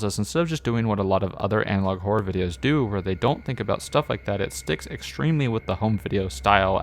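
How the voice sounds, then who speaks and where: A man's voice: lowish voice, slight background noise. A man narrating a scripted, opinionated video essay in a blunt, emphatic, critical manner. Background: background music.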